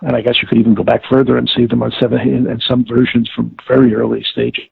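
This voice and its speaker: An older man being interviewed, recalling past work in a halting, deliberate, wordy way and often correcting himself. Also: mid-range voice, level tone, creaky, slightly rough, no echo. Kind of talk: reminiscing interview. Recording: tinny narrowband call, like old radio.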